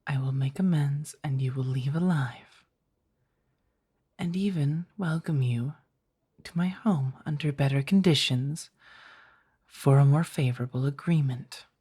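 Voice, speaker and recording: A woman acts out an ancient female vampire for an ASMR audio drama. The voice is very low and husky, with slow, formal, hushed phrasing. The vampire comes across as stern and fiercely protective. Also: exaggerated voice. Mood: tense, bargaining. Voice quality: breathy, husky. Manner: measured, formal, hushed delivery.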